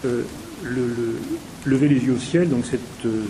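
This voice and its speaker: A man, a playwright, explaining his writing with frequent fillers and pauses. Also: mid-range voice, fairly even intonation, background noise. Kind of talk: discussion of theatre work.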